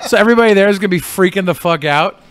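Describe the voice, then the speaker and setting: Bright, high-voiced man joking around. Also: very fast, smooth, no echo. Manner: crude, joking, exaggerated. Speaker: podcast co-host. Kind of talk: casual comedic reaction chat.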